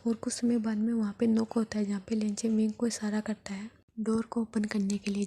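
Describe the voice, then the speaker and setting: Breathy whispering woman storyteller. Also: mid-range voice. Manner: soft whispering, steady retelling.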